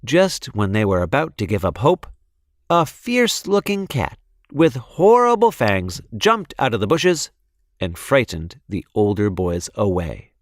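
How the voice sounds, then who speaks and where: A man reading a children's storybook in an expressive narrating style. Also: lowish voice, unhurried, no echo, clean studio sound. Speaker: storybook reader.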